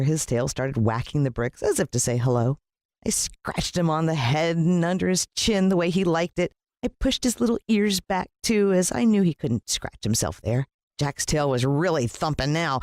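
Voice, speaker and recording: An American woman narrating a children's story in an expressive, dramatic storytelling manner. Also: low voice, normal speed, no echo, clean studio sound. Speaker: audiobook narrator.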